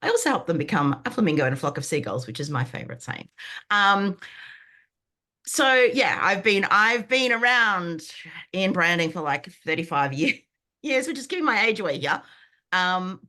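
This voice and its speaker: A woman in her fifties, croaky from a sinus infection, chatting enthusiastically as a guest on a video call, with lots of fillers and restarts. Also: on the low side, big pitch swings, moderate pace, sharp tone. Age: 50s. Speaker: brand foundations coach. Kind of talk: guest on an online group call.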